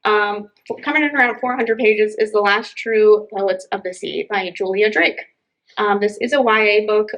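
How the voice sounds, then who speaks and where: A woman's voice: medium pitch, fairly bright tone, muffled recording. A woman co-hosting a casual library book chat, talking in an enthusiastic, effusive way. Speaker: library podcast co-host.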